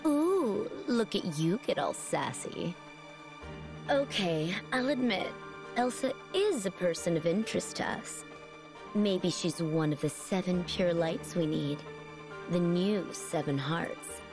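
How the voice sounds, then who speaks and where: A woman's voice: fairly low pitch, expressive, takes it slowly, over music. A woman voicing a smug, taunting young villainess in a video game, with a sarcastic, condescending drawl. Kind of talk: video game cutscene acting.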